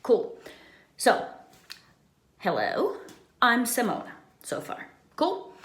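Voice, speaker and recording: A woman's voice: fairly high pitch, wildly swooping pitch, slow pace, a bit bright. A woman giving an informal bilingual language lesson as a teacher, often checking in with short tag questions. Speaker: English teacher. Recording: echoey, roomy sound.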